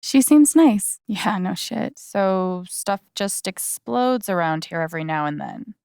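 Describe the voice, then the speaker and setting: Young woman, mid-pitched, unhurried, friendly, wry, conversational game dialogue, very dry studio sound. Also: expressive, creaky, darkish tone, smooth, no echo. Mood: dry, casual. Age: young adult. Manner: casual, dryly joking, conversational. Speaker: video game voice actress.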